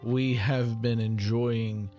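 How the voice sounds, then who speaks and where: Casting: male producer, mid-pitched, addressing listeners in a scripted announcement, soft music underneath. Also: level tone, slow. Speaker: show producer and voice actor.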